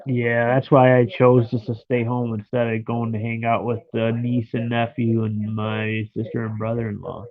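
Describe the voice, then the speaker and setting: A man's voice: medium pitch, little pitch movement, moderate pace, few pauses, no room sound. A man in his thirties with a stuffed-up nose, chatting and rambling to viewers from his bed at home on a live stream. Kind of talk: casual live stream chat. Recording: dry, phone-like lo-fi sound.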